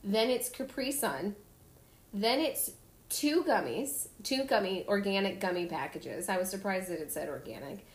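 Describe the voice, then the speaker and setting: A woman's voice: mid-range voice, moderate pace. Chatty woman in an echoey room. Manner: animated storytelling, acts out kids' voices. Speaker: podcast host. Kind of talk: casual chat.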